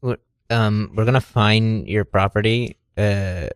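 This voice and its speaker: A man's voice: on the low side, fairly even intonation, takes it slowly, clear, resonant tone, dry recording. Man improvising a stern homeowners association official, dry sound. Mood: stern scolding. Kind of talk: improvised comedy sketch.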